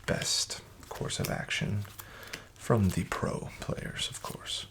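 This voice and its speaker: A man in a soft-spoken ASMR roleplay as a casino dealer, half-whispering smooth, flattering patter. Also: takes it slowly, background noise.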